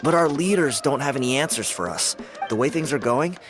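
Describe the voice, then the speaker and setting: Man voicing a breezy young dancer, lively pitch. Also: a little fast, natural voice. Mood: worried. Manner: breezy, expressive. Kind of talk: video game voice acting. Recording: background music under the voice.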